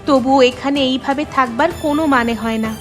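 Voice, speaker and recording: A woman acts as a peace-seeking village wife in a cartoon. Her voice is somewhat high and coaxing. Music is clearly heard behind it. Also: steady tone, a little fast, lightly acted. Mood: worried, coaxing. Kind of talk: Bengali cartoon voice acting.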